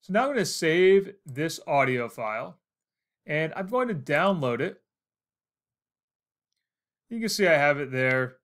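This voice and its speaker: A man's voice: on the high side, expressive, unhurried, pauses often, fairly bright tone. An American man in his forties narrating a casual instructional screencast, explaining things step by step. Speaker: tutorial host.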